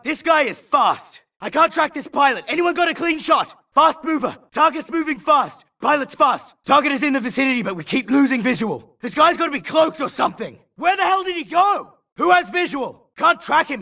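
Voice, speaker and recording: An actor playing a tough, gruff infantry soldier in a video game, calling out clipped, urgent combat callouts. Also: male voice, very high-pitched, big pitch swings, brisk, hardly any pauses, far from the mic, exaggerated voice. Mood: urgent, focused. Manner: clipped, shouted military callouts. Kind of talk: military battle barks.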